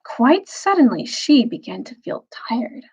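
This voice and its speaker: A woman reading a children's novel aloud as a storyteller, phrasing carefully with dramatic pauses and emphasis. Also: on the high side, big pitch swings, darkish tone, breathy edge, rough recording.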